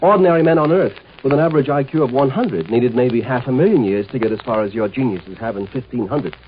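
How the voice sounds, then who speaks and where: A man's voice: mid-range voice, fast, natural voice. A man acts out a galactic military marshal for a 1950s radio drama. He is curt, impatient and used to command. The old recording is very thin and narrow, with faint noise.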